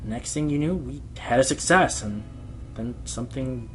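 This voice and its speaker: A man's voice: medium pitch, moderate pace, close to an everyday voice, poor audio. Over background music comes a man acting a male pony radio host in an audio drama. His mid-range voice is earnest and self-deprecating, with a defensive, passionate temper. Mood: reflective. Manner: earnest, hesitant, turning indignant. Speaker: radio show host being interviewed. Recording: music behind the voice.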